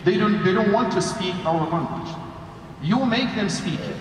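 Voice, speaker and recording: High-voiced male preacher in an echoing hall. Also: moderate pace. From Saudi Arabia. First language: Arabic and Hausa. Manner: emphatic, repeats phrases for stress. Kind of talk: Friday sermon in English. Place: large indoor mosque hall. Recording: echoey hall, long reverb, background noise.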